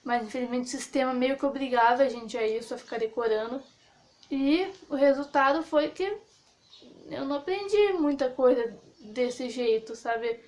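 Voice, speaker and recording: A young woman talks straight to camera in a relaxed vlog, sharing her view. Her voice sits high, and she meanders with frequent fillers and self-corrections. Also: moderate pace. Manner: rambling with many fillers and restarts. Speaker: vlogger. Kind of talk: casual opinion monologue.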